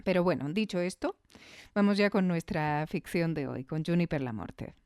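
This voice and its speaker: A woman's voice: fairly low pitch, expressive, moderate pace, a little mellow, smooth, dry recording. Woman podcast host, close dry sound. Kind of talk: scripted podcast narration with conversational asides.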